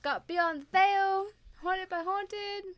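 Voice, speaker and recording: A woman co-hosting a live stream with a hoarse voice, chatting casually and greeting viewers. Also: very high-pitched, slow pace. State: hoarse, losing her voice.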